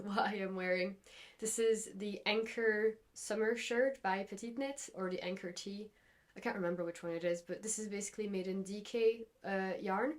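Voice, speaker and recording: A woman's voice: medium pitch, darkish tone. Young woman, from Belgium, knitting vlogger, casual chat, rambling, home craft room. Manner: rambling, friendly, many fillers and restarts. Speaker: knitting podcast host. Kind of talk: casual chatty vlog.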